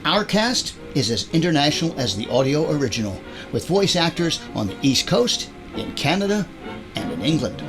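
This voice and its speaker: A man's voice: fairly high pitch, sing-song, moderate pace, over music. A man hosting an audio drama introduction in a chatty, playful, wry conversational style.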